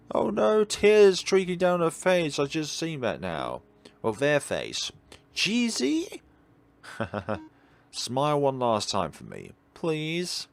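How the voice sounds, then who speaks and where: A man's voice: medium pitch, vocal fry. A man hosting a let's play, reading aloud and musing in a rambling, digressive way. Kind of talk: reading a visual novel aloud with rambling off-script commentary.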